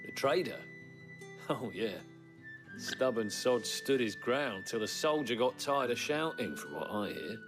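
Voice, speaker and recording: An actor playing a gruff, wry stable hand in a video game, plain-spoken and folksy. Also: male voice, mid-range voice, lively intonation, measured pace, music in the background, natural voice. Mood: wry, cautioning. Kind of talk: video game cutscene acting.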